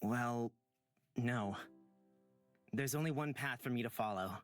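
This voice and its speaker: A man voicing a gentle, reassuring young traveler in a visual novel, soft-spoken and earnest. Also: mid-range voice, unhurried, lightly acted. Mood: tender, reflective. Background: background music.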